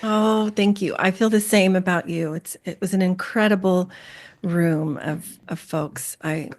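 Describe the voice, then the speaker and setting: A woman's voice: on the low side, steady tone, unhurried. A woman hosting a podcast, reading a scripted intro and then interviewing a guest in an earnest, affirming way. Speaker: podcast host.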